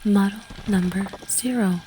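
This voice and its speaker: A woman playing a frightened, newly awakened female android with a gentle, breathy voice in a radio drama. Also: medium pitch, a little slow, background noise, close to an everyday voice. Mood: frightened, dazed.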